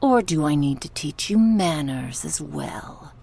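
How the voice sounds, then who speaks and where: A woman's voice: low voice, lively intonation, gravelly, dark tone, breathy edge, heavily acted. A woman playing a cruel, sadistic goddess who taunts sarcastically in a horror ASMR roleplay. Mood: sarcastic. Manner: taunting, sarcastic, slow and drawn out with sighs. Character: sadistic goddess, mocking, cruel, playfully menacing captor.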